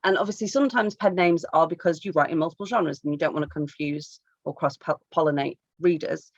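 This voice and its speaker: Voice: medium pitch, fairly quick, dry recording, muffled recording. A podcast co-host asking questions and teasing the guest, laughing a lot. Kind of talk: casual podcast chat.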